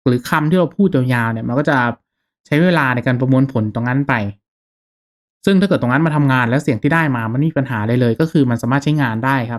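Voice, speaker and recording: Casting: male tutorial host, medium pitch, casual and courteous step-by-step explainer for a gaming channel. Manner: informal, polite, explanatory, checking in with listeners.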